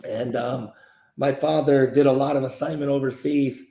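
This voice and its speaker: A man's voice: mid-range voice, steady tone, moderate pace, warm tone. A man in his fifties, originally from South Korea, a martial arts grandmaster answering interview questions in a rambling way with many fillers and restarts. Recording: roomy echo.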